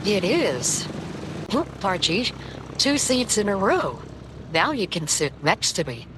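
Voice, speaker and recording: A woman playing a bossy, sharp-tongued wife in 1940s-style radio comedy. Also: mid-range voice, very animated, takes it slowly, noisy background. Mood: dry.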